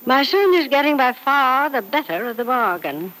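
Wealthy elderly matriarch, frank and grandly fond, lively swooping delivery, old radio soap recording. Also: female voice, high voice, moderate pace, poor audio. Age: older. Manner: emphatic, theatrical, grandly affectionate. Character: elderly wealthy mother, frank, doting, imperious. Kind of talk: 1940s radio soap opera acting.

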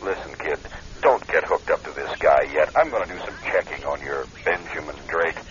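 Radio drama supporting man: protective ex-husband, wry straight talker, animated low voice, lo-fi sound. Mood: blunt, concerned. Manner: blunt, brisk, wry advice-giving. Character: Len, blunt, protective ex-husband, a bone doctor. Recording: dull lo-fi radio recording.

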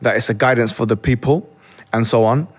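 A man's voice: mid-range voice, brisk, breathy edge. A young man, originally from Egypt, earnestly reflecting as an interview guest, often restarting his sentences. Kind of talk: interview conversation.

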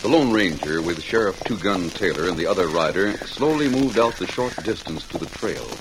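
A man's voice: deep voice, expressive, brisk. A man narrating an old-time radio drama in a dramatic scripted style. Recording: hissy, crackly old radio recording.

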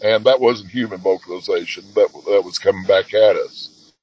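A man guest telling his story in a halting way with many fillers, a man with a booming voice. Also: husky, muffled recording. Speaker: guest, expedition leader. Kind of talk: casual storytelling.

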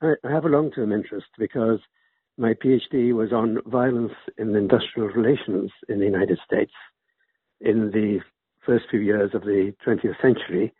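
A man's voice: fairly low pitch, fairly even intonation, normal speed, breathy edge, no echo. Older Welsh-raised man on a phone line. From Wales. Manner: rambling, with restarts. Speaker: guest author. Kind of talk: interview over a call. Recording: muffled phone-like line, dry.

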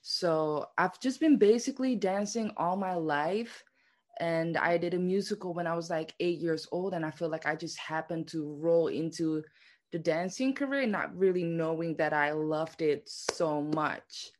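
A woman's voice: lowish voice, unhurried. Chatty young woman from the Netherlands. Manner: chatty, says "like" a lot. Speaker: guest dancer. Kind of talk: casual interview chat.

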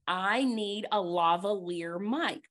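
A woman hosting a podcast, giving casual advice in an animated, emphatic way and repeating her key points. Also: medium pitch, takes it slowly. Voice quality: trained projecting voice. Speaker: podcast host.

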